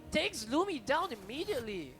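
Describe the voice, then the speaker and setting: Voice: sits high, big pitch swings, moderate pace. Casual esports co-caster over game music. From Singapore. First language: Chinese is second language. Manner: casual, laughing, talks to chat. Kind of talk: esports color commentary.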